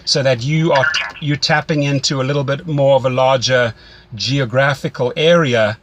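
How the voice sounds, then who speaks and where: A man's voice: medium pitch, fairly even intonation, moderate pace, background noise. Man coaching in a conversational way. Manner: instructive, repeats phrases for emphasis. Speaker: rideshare driving coach. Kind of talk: conversational phone mentoring.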